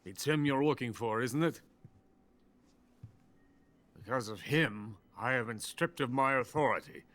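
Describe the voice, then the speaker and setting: An actor playing a drunk, boastful older Soviet weapons scientist in a video game cutscene. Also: male voice, mid-range voice, a little slow, a bit bright, close to an everyday voice. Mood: bitter, scheming. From Soviet Union. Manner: pompous, self-important, bitter.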